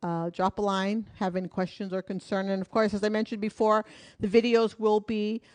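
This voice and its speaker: A woman's voice: medium pitch, flat delivery, moderate pace. A woman teaching a live online hula class from her home in Hawaii, counting beats and talking through each move in a friendly, encouraging way.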